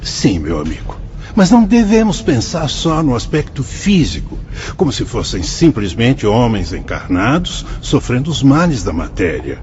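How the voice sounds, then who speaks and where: A man with a Brazilian Portuguese accent plays a calm, wise male spirit instructor who explains things patiently in a radio drama. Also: medium pitch, moderate pace. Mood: patient, instructive. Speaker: spirit guide explaining the colony. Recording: dull, muffled sound.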